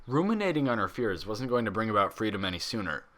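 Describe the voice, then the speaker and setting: A man reading a story aloud as an expressive narrator. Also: lowish voice, fast talker. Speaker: fan fiction reader.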